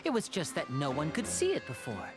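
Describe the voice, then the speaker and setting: A suave young stage magician from a fantasy game. The voice sits a little low and moves expressively, courteous and showmanlike. Faint music runs underneath. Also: female voice, huge pitch swings, fairly quick. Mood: showy. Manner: showmanlike, courteous, smooth-talking. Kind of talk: video game voice acting.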